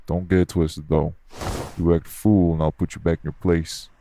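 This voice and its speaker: A man acts out a gruff, unbothered nightclub tough guy for an audio roleplay. His voice sits very low, with heavy gravel and a dark, relaxed tone. He speaks bluntly in streetwise slang, straight to the listener. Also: moderate pace, slight background noise. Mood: blunt, firm. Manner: blunt, laid-back, streetwise slangy talk.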